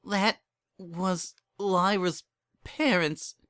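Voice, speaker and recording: A distraught young mare who runs a candy shop, voiced by a man, indignant and emotional in a storybook reading. The voice is pushed high and bright, with a dry close sound. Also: fairly even intonation, extremely slow, smooth, no room sound, heavily acted. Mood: sobbing, pleading.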